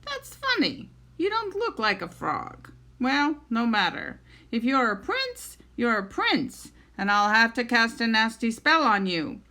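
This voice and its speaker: Woman putting on a croaky, suspicious old witch. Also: fairly high pitch, huge pitch swings, a little slow, few pauses, bright, forceful tone, exaggerated voice. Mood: suspicious, menacing.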